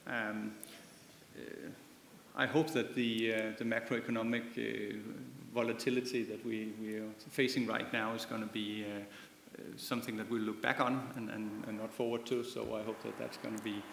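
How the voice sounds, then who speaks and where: A man with a gravelly, flat voice speaks in a measured way with many fillers on a business panel. The hall adds a long reverb, and faint room noise sits under him. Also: fairly low pitch. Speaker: shipping company CEO on a panel. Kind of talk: conference panel talk. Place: conference hall.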